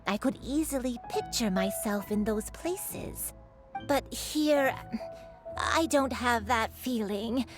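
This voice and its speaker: An actress playing a frail, forgetful old woman in a video game, speaking politely with halting, sorrowful wistfulness. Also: on the high side, music in the background. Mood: puzzled. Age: older.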